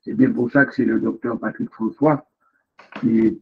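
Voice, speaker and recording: A man's voice: mid-range voice, fairly even intonation, moderate pace, gravelly, narrow, tinny audio, a little hiss or hum. A man speaking as a jury member at a thesis defense, making emphatic points with rhetorical questions over a remote call. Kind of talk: academic remarks at a thesis defense.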